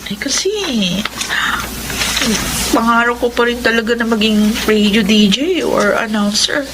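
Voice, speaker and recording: An actress playing an excitable young woman who dreams of radio DJ work, gasping and gushing in an audio drama. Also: on the high side, a little slow, natural voice. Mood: wistful. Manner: gushing, gasping and mixing English into Tagalog. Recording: noisy audio drama recording.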